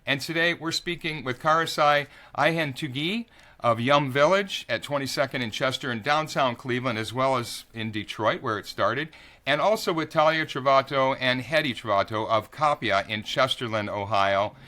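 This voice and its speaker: An upbeat man hosts a conversational interview, his voice bright and ringing at an ordinary mid pitch. He leads in by reading his guests' introductions. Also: moderate pace.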